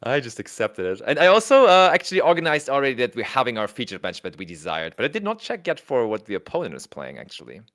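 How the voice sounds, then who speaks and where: A German-accented man co-commentating a tournament stream in a loose, chatty way. His voice swings widely in pitch as he thinks aloud and laughs often, and he sits at a middle pitch for a man. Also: huge pitch swings, fast talker, few pauses, fairly bright tone. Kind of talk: casual livestream commentary, reading chat aloud.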